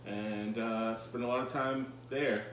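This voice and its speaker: An American man co-hosting a podcast in casual, joking chat, with a wry, halting delivery full of quips. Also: mid-range voice, steady tone, fairly bright tone. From United States, moved around, lately South Carolina. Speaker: podcast co-host. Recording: roomy echo, distant mic, faint hum.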